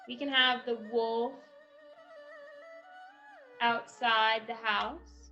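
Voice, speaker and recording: A woman's voice: a little high, flat delivery, very slow, pushed and bright, dull audio, soft background music. A woman giving a step-by-step craft tutorial for children in an encouraging, instructional way. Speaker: craft lesson host.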